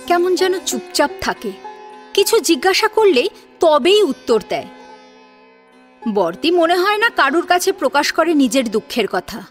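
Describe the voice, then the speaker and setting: A woman's voice: very high-pitched, big pitch swings, unhurried. A woman reading scripted story narration as the narrator of an audio drama. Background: sitar music.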